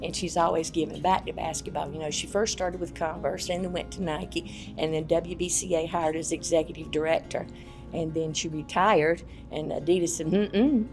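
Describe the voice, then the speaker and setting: A woman's voice: low-pitched, fairly even intonation, normal speed, music in the background. A woman who coached basketball, speaking in an interview with emphatic, repeated points. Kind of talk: interview recollection.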